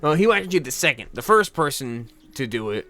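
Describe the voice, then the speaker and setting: A young man casually telling rambling anecdotes in a halting way, repeating words and often chuckling. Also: fairly high pitch, very animated, sharp tone, soft background music.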